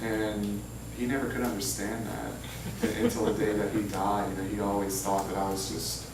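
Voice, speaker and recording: A man's voice: lowish voice, moderate pace. A man, speaking informally as an author at a public talk, with self-deprecating, anecdotal delivery. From Louisiana, United States. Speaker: author speaking at a book reading. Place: indoor humanities center event room. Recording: echoey, noisy hall, far from mic.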